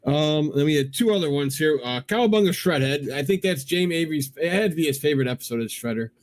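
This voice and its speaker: A man's voice: on the high side, expressive, fast talker. A middle-aged man hosting a cartoon countdown show, chatting casually and enthusiastically as a fan.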